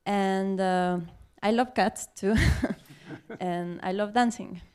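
A woman's voice: mid-range voice, fairly even intonation, slow pace, a little mellow, clean tone. A woman from Mexico introducing herself shyly, with many fillers. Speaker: new graduate student.

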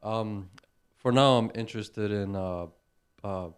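A man from Iran introducing himself in a halting way, with many fillers. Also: on the low side, clean tone. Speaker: new graduate student.

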